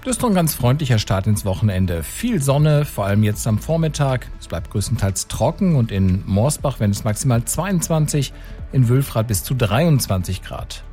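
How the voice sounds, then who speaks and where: A man's voice: medium pitch, big pitch swings, normal speed, mellow, faint music behind. A man presenting the radio weather in a cheerful, friendly way.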